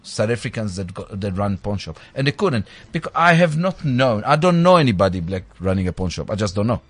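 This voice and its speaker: A man's voice: lowish voice, big pitch swings, brisk, faint music behind. A man with a Spanish accent chatting as a guest in a radio studio, effusive and searching for words. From Spain or Spanish-speaking country. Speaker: pawn shop owner and reality show guest. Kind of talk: casual radio chat.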